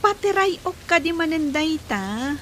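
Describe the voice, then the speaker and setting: A woman's voice: extremely high pitch, very animated, a little slow, fairly bright tone, slight background noise, heavily acted. A woman playing a sweet young village woman in an Ilocano comedy radio drama, with an Ilocano Filipino accent. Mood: affectionate, teasing. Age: young adult. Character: sweet young village woman attached to her childhood friend.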